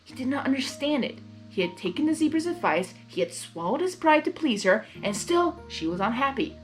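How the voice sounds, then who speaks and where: A woman with a fairly high voice reads a story aloud. Her delivery is lively and expressive, with a giggly manner, over faint music. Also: a little fast, rough recording. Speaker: fan fiction reader. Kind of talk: animated read-aloud narration with reactions.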